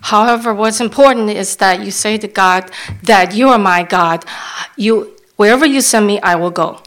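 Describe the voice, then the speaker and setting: Woman interpreter at mid pitch, halting delivery with restarts. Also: a bit bright. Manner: halting, restarts while searching for words. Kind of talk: live sermon interpretation.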